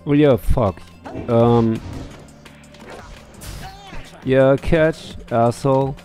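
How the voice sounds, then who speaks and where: A man's voice: mid-range voice, drawn-out words, mellow. A man, sounding middle-aged, giving casual gameplay commentary and reading game text aloud, muttering to himself and swearing casually into a headset mic. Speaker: let's play streamer. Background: faint game music.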